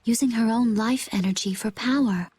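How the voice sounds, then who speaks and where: A woman as a composed, higher-voiced young fighter. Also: moderate pace, darkish tone, slight background noise, close to an everyday voice. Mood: calm. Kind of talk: video game voice acting.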